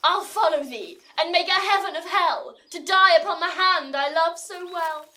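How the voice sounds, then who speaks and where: A woman plays a young lover, wounded and defiant, with a very high, bright voice. She declaims classical stage verse with impassioned stubbornness. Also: moderate pace. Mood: devoted. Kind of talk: classical Shakespearean verse acting.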